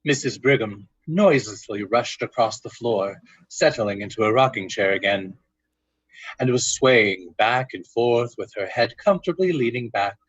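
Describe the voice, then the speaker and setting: A man's voice: mid-range voice, expressive, moderate pace, slightly rough, no echo. A man narrating a literary radio drama from a script, in a muffled, dry recording.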